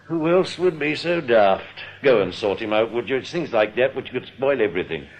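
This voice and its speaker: Actor as animated, genial, scheming bank manager. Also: male voice, medium pitch, normal speed, lightly acted. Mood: brisk. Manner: genial, smoothly reassuring. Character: affable, scheming male senior bank manager. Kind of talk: radio drama acting. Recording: dull, noisy old radio recording.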